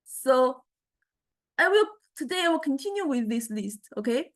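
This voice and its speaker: A woman with a Chinese accent and a fairly high-pitched voice teaches an informal software class. She speaks haltingly, repeating words as she goes and often adding small checking tags. She talks into a small condenser microphone. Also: very animated. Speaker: university lecturer in music technology. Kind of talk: informal software tutorial lecture.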